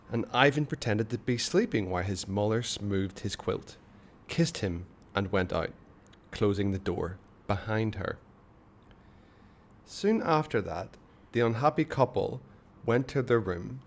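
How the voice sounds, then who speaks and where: Storyteller, male, fairly low pitch. Expressive book narration, measured and dramatic, with pauses. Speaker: storyteller reading a children's book aloud.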